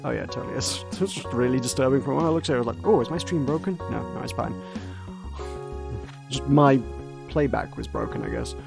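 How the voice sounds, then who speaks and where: A man's voice: mid-range voice, huge pitch swings, a little fast, gravelly, long pauses, over music. A young man chatting casually while streaming a game, rambling with lots of asides and fillers. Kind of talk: casual gameplay commentary.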